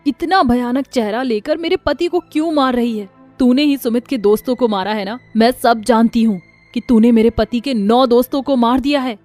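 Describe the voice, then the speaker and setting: A man's voice: very high-pitched, moderate pace, few pauses, soft background music, pitched above the performer's own voice. A man voicing a brave young village wife who fiercely confronts a witch in a cartoon story. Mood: accusing. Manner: shouting, accusing, fierce.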